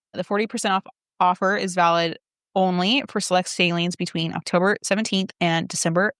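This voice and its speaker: A woman's voice: lowish voice, a bit bright, dry recording, clean studio sound. A woman co-hosting a travel podcast, chatting casually and often dropping in "like". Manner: chatty, peppers speech with "like". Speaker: podcast co-host and travel agent.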